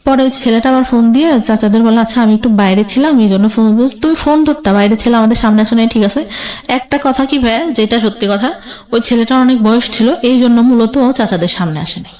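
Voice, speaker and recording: A woman's voice: on the high side, quick pace, darkish tone. A woman with a Bangladeshi accent telling her life story as a guest in conversation, colloquially recounting who said what. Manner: recounts quoted exchanges in colloquial speech.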